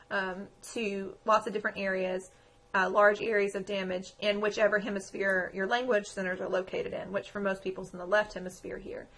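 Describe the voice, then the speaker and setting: A woman lecturer with a croaky voice, giving a conversational exam review with frequent ums. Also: medium pitch, a bit bright. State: croaky, recovering from lost voice. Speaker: psychology lecturer.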